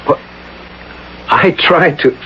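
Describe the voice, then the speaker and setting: An actor playing a troubled, evasive professor in a vintage radio drama, hesitant and defensive, halting and repeating his words. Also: lightly acted. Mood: rattled. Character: troubled, evasive professor, anxious and unsure of himself. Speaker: actor in a radio mystery play. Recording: dull vintage radio broadcast, faint noise.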